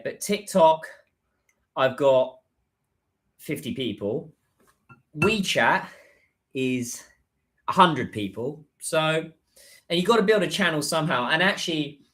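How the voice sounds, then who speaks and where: A man's voice: a little high, sharp tone. A British man chatting casually on a livestream, rambling a little with plenty of fillers and restarts. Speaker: livestreamer and business content creator.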